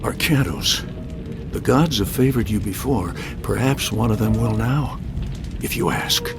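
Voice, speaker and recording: A wise centaur of myth from a game cutscene. The voice is mid-pitched and creaky, earnest yet lively in intonation, with music playing behind. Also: male voice, unhurried, heavily acted. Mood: encouraging. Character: wise, steady male centaur guide of myth. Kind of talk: scripted video game cutscene acting.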